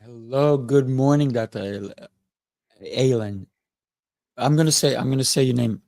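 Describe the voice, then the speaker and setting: Gravelly-voiced male podcast host. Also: medium pitch, a little slow, darkish tone, no echo, clean studio sound. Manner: encouraging, frequent "mm" backchannels. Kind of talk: interview hosting.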